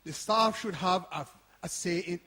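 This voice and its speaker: Radio play: weary grandfather played by a man, raspy, somewhat high voice, hesitant delivery. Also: a little slow, bright, forceful tone, exaggerated voice. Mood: doubtful. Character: Arthur, worried, weary older grandfather, centre owner longing for Jamaica. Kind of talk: live-performed community radio play. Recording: reverberant hall.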